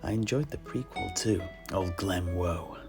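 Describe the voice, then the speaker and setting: A man's voice: deep voice, measured pace. A man playing a courteous, scholarly tavern keeper and amateur historian who hosts a fantasy audio drama, speaking in polite, wordy phrases. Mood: polite interest. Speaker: host. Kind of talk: in-character fantasy audio roleplay. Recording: music behind the voice.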